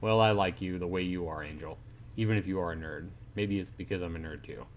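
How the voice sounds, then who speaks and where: A man's voice: low voice, a little fast, a little hiss or hum. A man chatting informally and jokingly with viewers as he reads and comments on a visual novel on a livestream. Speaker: livestreaming gamer reading a visual novel to chat. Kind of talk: casual livestream play-through commentary mixed with reading aloud.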